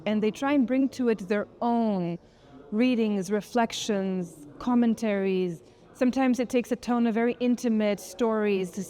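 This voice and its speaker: A woman with a soft-edged, mellow voice at a medium pitch speaks as an artist in an interview. They explain their project in a reflective, articulate manner, often softening phrases as they think them through. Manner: articulate, thoughtful, frequent "kind of" hedging.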